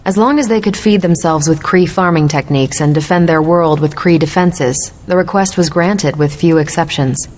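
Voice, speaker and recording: A woman's voice: fairly low pitch, moderate pace, hardly any pauses, a little mellow, a little hiss or hum, natural voice. An actress playing a confident military superhero woman in a video game, explaining things in an assured, lightly teasing way. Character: confident adult superhero woman, sharp patient military mentor.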